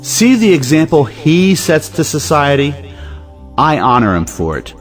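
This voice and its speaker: An actor playing a blustering, impatient, wealthy head of the family in an audio play, brusque and emphatic. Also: male voice, fairly high pitch, sing-song, unhurried, soft background music. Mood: righteous. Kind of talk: stage play audiobook acting.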